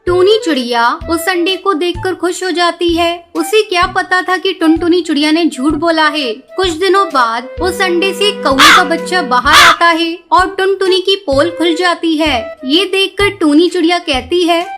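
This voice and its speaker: Very high-pitched woman narrating a story. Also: normal speed, few pauses, pushed and bright, faint music behind. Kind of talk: scripted children's story narration.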